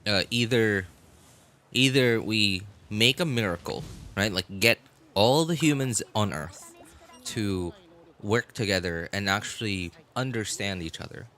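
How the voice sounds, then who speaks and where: A man livestreaming games in casual chat, talking in a halting, rambling way and often repeating himself. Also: lowish voice, bright, forceful tone. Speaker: live game streamer. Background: music.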